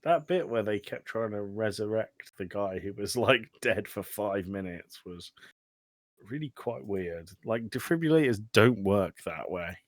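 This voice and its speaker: Male podcast host, lowish pitch, close dry sound, sweary deadpan humour in loose film chat. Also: measured pace, dry recording. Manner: sweary, dryly joking. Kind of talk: casual film review chat.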